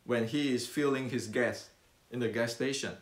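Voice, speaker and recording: An older man with an Indonesian accent speaks unscripted, earnest and insistent, circling back to repeat his points. His speech is broken by fillers, false starts and repeated words. The voice sits at an ordinary pitch in an echoey room. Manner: earnest, repetitive, halting with many fillers and restarts. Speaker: martial arts teacher and channel host. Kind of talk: unscripted talk to camera.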